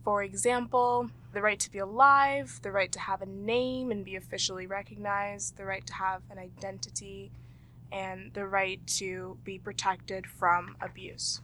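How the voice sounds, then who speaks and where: A woman's voice: medium pitch, steady tone, a little slow, fairly bright tone, a little hiss or hum, low-quality recording. A woman with a Canadian accent hosting, presenting quiz questions and leading a group discussion. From Toronto, Canada. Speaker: host and quizmaster.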